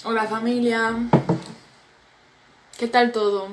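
A woman's voice: on the high side, flat delivery, slow, heavy background noise. Sleepy, chatty woman on a live stream. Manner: friendly, chatty, reads comments aloud and reacts. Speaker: Spanish teacher hosting a live stream. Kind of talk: casual live-stream chat reading viewer comments. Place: small indoor room at a table. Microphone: phone camera at arm's length.

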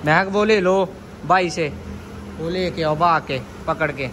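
A young man speaks on a handheld phone camera with a slightly high voice, in no hurry, patiently coaxing children along. Street and shop noise run clearly behind him. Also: measured pace, a bit bright. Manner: coaxing, repeats short prompts to the children. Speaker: vlogger. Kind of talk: casual vlog narration. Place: outdoors on a street and inside a small bakery.

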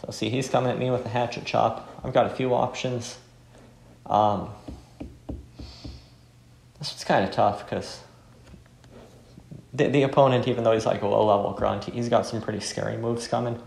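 A man's voice: mid-range voice, steady tone, moderate pace, gravelly, pauses often, a little mellow, a little hiss or hum. A man giving casual gameplay commentary as host, hesitant and self-effacing. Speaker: game playthrough host. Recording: roomy with faint music.

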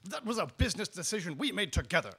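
A man's voice: high voice, very animated, very fast, bright, forceful tone, breathy edge, heavily acted. A man playing a scheming, easily flustered Santa Claus with a theatrical, blustering manner in a comic radio soap parody. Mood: boastful. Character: jolly, scheming, flustered middle-aged Santa Claus, cheating husband. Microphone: stand microphone in small radio studio.

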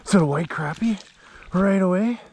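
A man's voice: sits high, moderate pace. A middle-aged man with an Upper Midwest American accent, chatting casually to an action camera while fishing from a kayak on a pond. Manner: chatty, talks to fish and deer, excitable. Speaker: fishing vlogger. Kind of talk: casual outdoor commentary. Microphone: action camera mounted on kayak.

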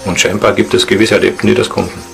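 A man explaining things spontaneously in an interview, halting and earnest. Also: on the low side, little pitch movement, gravelly, music in the background. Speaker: interviewee, esoteric practitioner.

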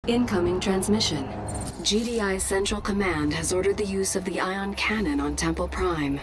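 A woman's voice: medium pitch, normal speed, noisy background, close to an everyday voice. A woman voicing an impersonal military computer announcer in a game, with formal, clipped announcements.

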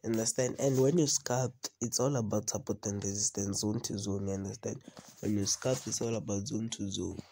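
A man's voice: fairly low pitch, steady tone, moderate pace, dark tone, slight background noise. A man with a cold hosting a casual trading tutorial over a screen recording, often repeating himself and asking "you understand?". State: has a cold. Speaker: trading video host.

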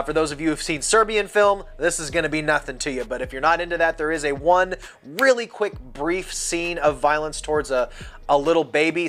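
A man's voice: on the high side, fairly bright tone. Chatty man reviewing a movie. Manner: chatty and candid, gestures with both hands. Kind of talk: casual spoken movie review. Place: home room lined with movie shelves.

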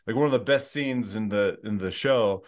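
A man in his thirties with a lowish voice talks into a large studio microphone from a home office. He tells stories in an animated way and jokes at his own expense. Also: normal speed. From United States and France. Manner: animated storyteller, self-deprecating humor. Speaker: historical fiction author. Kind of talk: casual chat. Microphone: large studio mic with pop filter.

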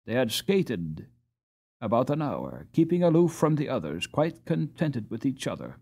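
Male audiobook narrator, expressive storybook reading, mid pitch, animated intonation. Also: normal speed, slightly rough.

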